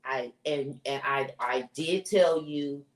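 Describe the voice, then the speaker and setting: A woman with a loud, strong voice, chatting bluntly and emphatically as a podcast co-host. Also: extremely low pitch, slow pace. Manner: blunt, emphatic, repeats herself. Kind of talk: casual chat. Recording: echoey room, far from mic.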